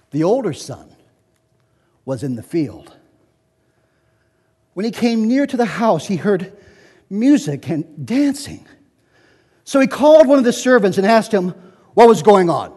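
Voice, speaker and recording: A man giving a dramatic, deliberate scripture reading with theatrical pauses in a church. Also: high voice, sing-song, dark tone, breathy edge. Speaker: scripture reader. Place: church, live service. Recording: echoey church hall, long reverb.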